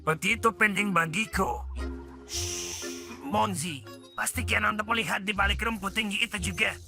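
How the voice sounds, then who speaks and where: A man's voice: high-pitched, fairly even intonation, normal speed, gravelly, music in the background. A man voicing a fussy, earnest male animal villager in a children's cartoon, with an exaggerated delivery. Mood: anxious.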